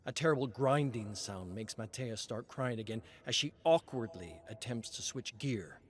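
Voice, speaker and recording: A man reading scripted narration as a storyteller, solemn and measured, with dramatic pauses. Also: mid-range voice, lively intonation.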